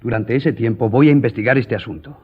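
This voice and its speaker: An actor playing a proud, composed gentleman journalist in a radio drama, speaking formally and firmly. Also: mid-range voice, breathy edge, narrow, tinny audio, natural voice. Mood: firm, offended. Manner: formal, measured, firm. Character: proud, composed male newspaper journalist, duel-ready gentleman.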